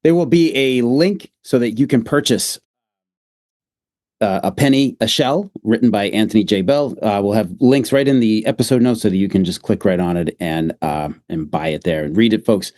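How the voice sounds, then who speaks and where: A man with a Boston accent hosting a podcast interview, encouraging and quick with short replies. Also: on the low side. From United States. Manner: warm, encouraging, short back-channel replies. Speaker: podcast host.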